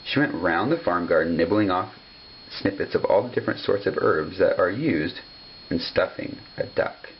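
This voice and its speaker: A man's voice: low-pitched, lively intonation, moderate pace. A man narrating a children's story from the page, on a dull home recording with some hum. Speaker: storybook reader.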